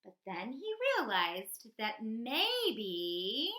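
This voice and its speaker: A woman narrates a children's story in a bright, fairly high voice. She takes her time and stretches words for drama. The room rings slightly around her. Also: big pitch swings, extremely slow, reverberant. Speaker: read-aloud storyteller. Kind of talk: expressive children's picture book narration.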